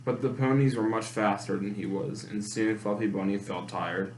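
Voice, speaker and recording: A man's voice: on the low side, steady tone, normal speed, darkish tone. A man reading a children's picture book aloud in a storytime style. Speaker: storytime reader. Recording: echoey room with clear background noise.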